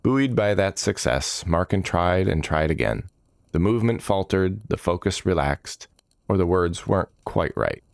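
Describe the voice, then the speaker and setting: A man narrating a scripted fantasy audiobook as storyteller, measured and dramatic in his delivery. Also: low-pitched, expressive, creaky, no echo, studio quality.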